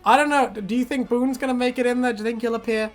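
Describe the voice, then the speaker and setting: A woman's voice: on the high side, steady tone, very fast, soft background music. A woman chatting casually and jokily as a co-host, swearing freely and prone to giggling. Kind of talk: casual banter, reacting to fan comments.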